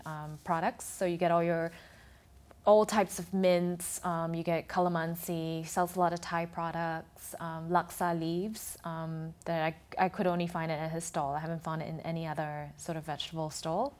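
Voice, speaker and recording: A woman's voice: on the low side, flat delivery, normal speed. A woman guest on a TV talk show, explaining her views in a thoughtful way with frequent restarts. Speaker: food and travel blogger, guest. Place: TV studio.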